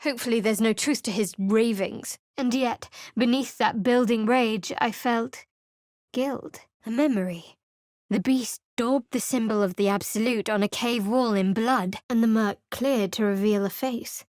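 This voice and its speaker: An actress gives voice to a secretive young cleric, a woman with a cool, dry wit who keeps everyone at arm's length. Her tone sits fairly high, and she delivers her lines close to the mic in a dead, dry studio. It is polished video game dialogue. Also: a little slow, a little mellow, no echo, natural voice. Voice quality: cool, wry and guarded. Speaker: game character. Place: studio booth.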